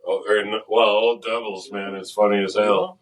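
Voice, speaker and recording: Low-voiced laid-back man, off-mic. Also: level tone, normal speed, far from the mic. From United States. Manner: laid-back, swears casually, enthusiastic storyteller. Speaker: band member. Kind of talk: casual chat. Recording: voice picked up from across a room.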